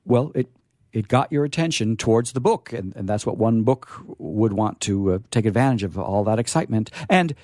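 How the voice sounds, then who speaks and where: A man hosting a casual live discussion, with a hesitant, halting delivery. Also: on the low side, animated, warm tone, no room sound, clean studio sound. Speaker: host.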